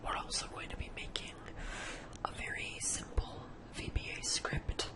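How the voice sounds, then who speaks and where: Whispered ASMR software tutorial host. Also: a little slow. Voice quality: breathy, unvoiced whisper. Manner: explains step by step, instructional.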